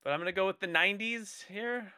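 Bright, dry-sounding young male podcast host. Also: high voice, fast talker, clean tone, dry recording. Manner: playful, rambling, jokey explainer. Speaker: podcast host running a movie draft game. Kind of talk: casual comedic chat.

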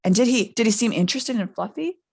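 A man's voice: sits high, a little fast, creaky. A woman voicing an earnest schoolboy hero with an urgent, insistent manner in a storybook reading. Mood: careful.

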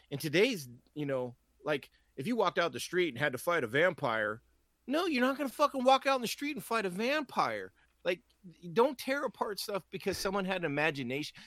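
A man's voice: a little high, a bit bright, no room sound. A man co-hosting a podcast, ranting casually with lots of swearing and mocking quoted voices. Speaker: podcast co-host.

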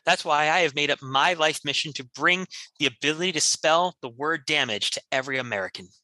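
A man's voice: fairly high pitch, fairly quick, sharp tone, dry recording, low-quality recording. A man improvising parody radio commercials like a comedy performer, with a salesman-like announcer delivery.

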